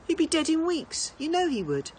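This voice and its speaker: A woman voicing a gentle storybook mother who reasons with her son in a consoling, coaxing way. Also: sits high, sing-song, normal speed, slight background noise, voice pushed up. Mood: consoling, anxious. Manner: soft-hearted, coaxing, reasoning.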